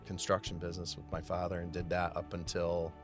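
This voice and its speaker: A man in his forties from Massachusetts, a city services manager giving an unhurried, detailed interview with frequent pauses. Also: low-pitched, monotone, soft background music. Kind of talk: casual interview conversation.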